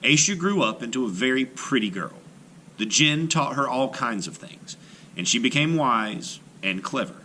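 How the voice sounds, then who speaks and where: Male storyteller for children's read-aloud: bright, mid-pitched, expressive intonation, faint noise behind. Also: normal speed. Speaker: storyteller, art teacher.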